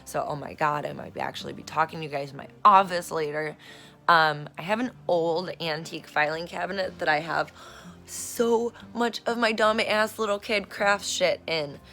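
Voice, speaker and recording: A woman vlogging at home on her phone, her voice hoarse and rough, chatting in a meandering way with lots of fillers and casual swearing. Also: low-pitched, moderate pace, sharp tone, soft background music. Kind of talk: casual rambling vlog chat. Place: at home, in a room being turned into an office. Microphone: phone camera recording herself.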